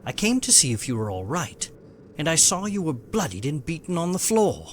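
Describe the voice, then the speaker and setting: A man's voice: a little high, big pitch swings, moderate pace, slight background noise. A man playing a protective, dutiful older butler with a formal, proper way of talking in an audio drama. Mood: worried, indignant. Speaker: voice actor.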